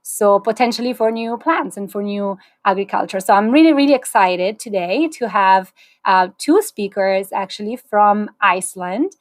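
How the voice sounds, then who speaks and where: A woman's voice: mid-range voice, animated, normal speed, dry recording. A woman in her thirties hosts an online talk over a video call, upbeat and chatty. Her voice sits mid-range, recorded dry and close in a small room. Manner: warm, upbeat, with fillers and small restarts. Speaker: host. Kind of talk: livestream webinar hosting. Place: small indoor room, plain wall.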